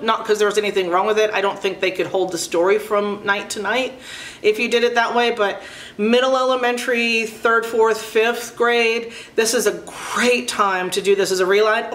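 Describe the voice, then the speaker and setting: A woman's voice: on the high side, a bit bright, echoey room. A middle-aged woman giving casual book recommendations in a chatty, enthusiastic, emphatic way, as a video host.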